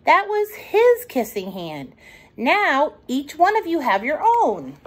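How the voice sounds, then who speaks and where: A woman voicing a tender, patient mother raccoon in a children's storybook, gentle and reassuring. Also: high voice, huge pitch swings, takes it slowly, voice pushed up, heavily acted.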